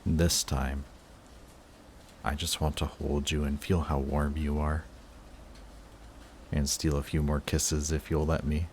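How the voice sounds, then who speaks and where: Guy doing a villain in love for an audio rp, super low slow voice, guarded but emotional, halting. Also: flat delivery, a little hiss or hum. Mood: soft, affectionate. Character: lovestruck male villain, guarded, flustered, confessing love to rival. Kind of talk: intimate audio roleplay acting.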